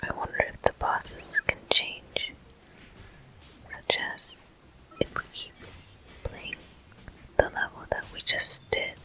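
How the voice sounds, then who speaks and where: A person whispering gameplay commentary for an ASMR video, breathy and gentle, in short, unhurried phrases. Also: very high-pitched, low-quality recording. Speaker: host of a gaming ASMR video.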